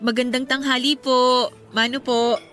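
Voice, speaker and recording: An actress playing a polite, bashful, expressive young Filipino girlfriend in a radio drama. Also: high-pitched, a little slow, faint music behind. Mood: polite, amused. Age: young adult.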